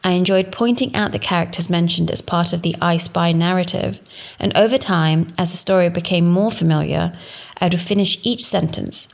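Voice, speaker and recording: Woman in her thirties, lowish voice, measured scripted delivery, formal teaching narration. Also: steady tone, vocal fry. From England. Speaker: education student presenting.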